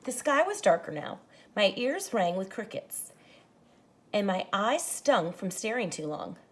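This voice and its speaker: A woman teacher reading a picture book aloud to children, expressive and dramatic, speaking to them directly. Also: mid-range voice, unhurried. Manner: animated, dramatizes the story lines, addresses children directly. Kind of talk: expressive children's read-aloud with explanatory asides.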